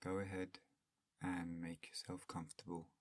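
A man with a deep voice leads a guided meditation very slowly, leaving long pauses between phrases. His delivery is soft-spoken and extremely even, almost flat in pitch. The sound is close and dry, with a soothing, unhurried manner. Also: no room sound.

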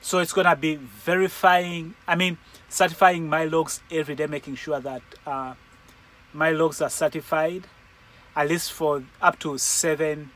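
A man in his forties, a truck driver, thinking aloud as he works through a screen in the cab of his parked truck, hesitant and halting. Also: fairly high pitch, steady tone, fairly bright tone. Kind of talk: casual vlog walkthrough. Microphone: phone camera held in the cab. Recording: engine and fan noise in a truck cab.

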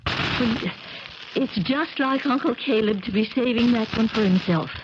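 An actress playing a loyal, firm niece with a deliberate manner in a 1970s radio drama. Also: female voice, fairly high pitch, natural voice. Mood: defiant, firm. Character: loyal, firm young niece guarding her uncle. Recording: crackly, dull 1970s radio recording.

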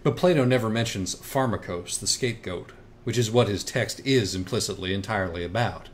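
A man voices an insecure, cerebral young writer in an audiobook dialogue. His voice sits a little low, and his delivery is wry, hesitant and self-loathing. Faint noise runs behind it. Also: brisk, close to an everyday voice. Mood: wry, defensive.

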